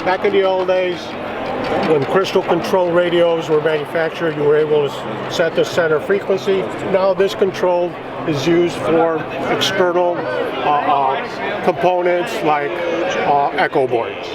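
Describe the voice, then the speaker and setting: A man's voice: fairly high pitch, measured pace. A man from a radio company giving a step-by-step product demonstration on a busy trade show floor, explaining settings with some restarts. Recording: loud crowd and noise. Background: loud crowd chatter.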